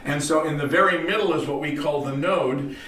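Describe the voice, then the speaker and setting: An older man with a slightly high voice shows off a science gadget, rambling, stopping and restarting, and chuckling as he goes. The room is echoey, and there is a lot of noise behind him. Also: brisk, a bit bright. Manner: rambling, restarts often, laughs easily. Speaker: science museum guest. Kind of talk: casual talk show demo.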